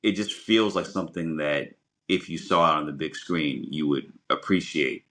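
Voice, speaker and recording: A man's voice: rumbling low, moderate pace, bright, forceful tone. A man co-hosting a podcast, giving casual film commentary in a discursive, conversational manner. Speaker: podcast co-host.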